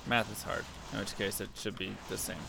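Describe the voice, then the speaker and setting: Deep gravelly-voiced man streaming a game. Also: brisk, background noise. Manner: relaxed, muttering to himself and chat while playing. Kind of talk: casual gameplay commentary.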